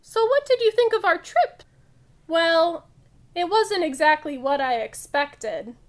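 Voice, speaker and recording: A woman voicing an excitable child storyteller from a picture book, with animated, emphatic delivery. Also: extremely high pitch, slow, pitched above the performer's own voice, heavily acted. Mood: excited.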